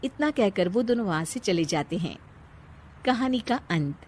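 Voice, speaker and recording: A woman's voice: medium pitch, lively intonation, moderate pace, darkish tone. A woman narrating a scripted story, playfully addressing her listeners.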